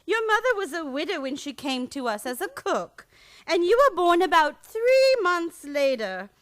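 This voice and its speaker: Lady doing a sweet stubborn old auntie, really high voice, lilting all over, prim radio play acting. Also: sing-song, normal speed. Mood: sweet, matter-of-fact. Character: genteel, sweetly stubborn elderly aunt.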